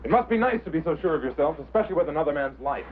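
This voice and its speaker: A man plays a concerned, resentful pilot in a 1950s drama. He speaks quickly with lively swings in a mid-pitched voice. The old soundtrack is tinny. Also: lightly acted. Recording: tinny, noisy vintage soundtrack.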